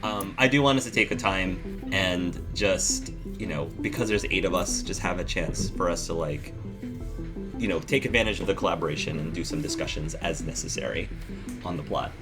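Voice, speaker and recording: A man's voice: sits low, moderate pace. A man hosting a let's play, chatting casually with his group and laughing easily. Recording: guitar music playing underneath.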